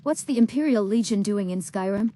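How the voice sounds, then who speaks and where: A woman's voice: medium pitch, a little fast, warm tone, close to an everyday voice. A synthetic woman's voice playing an inquisitive adventurer in a fantasy game. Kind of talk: synthesized game player dialogue. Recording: long hall reverb, music behind.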